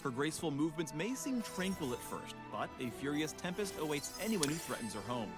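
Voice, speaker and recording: A man's voice: a little high, very animated, a little fast, over music. A man reading scripted promotional tutorial narration for a video game spotlight, with playful wording.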